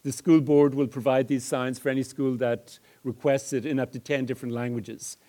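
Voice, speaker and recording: A man delivers a public lecture in a medium-pitched voice. He explains his examples in a measured way, pausing and hesitating as he goes. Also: little pitch movement, dark tone. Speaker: lecturer on multilingual education.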